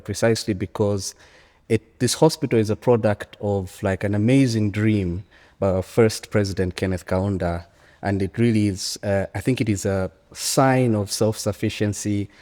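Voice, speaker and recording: Deep-voiced Zambian man, reverberant hall. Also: fairly even intonation, moderate pace, warm tone. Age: early 40s. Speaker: interview guest, economics academic. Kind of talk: conversational interview answer, storytelling. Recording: echoey hall with long reverb.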